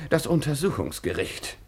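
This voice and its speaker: Pompous young detective, officious, swooping intonation, rough-edged voice, easily flustered, radio drama. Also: male voice, mid-range voice, full character voice. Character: self-important, excitable young police detective. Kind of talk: 1950s German radio play acting.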